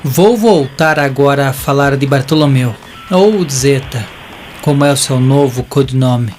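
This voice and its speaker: A man with a Brazilian accent narrating a scripted audio drama as a deliberate storyteller who addresses listeners. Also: medium pitch, darkish tone. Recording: voice over a music bed.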